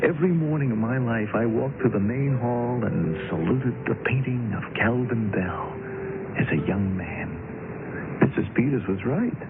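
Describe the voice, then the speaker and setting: A man's voice: mid-range voice, normal speed, phone-quality sound, close to an everyday voice. An actor playing an easygoing, modest young man in a radio drama. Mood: reflective. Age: young adult. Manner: casual, easygoing. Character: agreeable, modest young man bound for university. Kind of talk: radio drama acting with first-person narration. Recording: thin band-limited vintage radio, music behind.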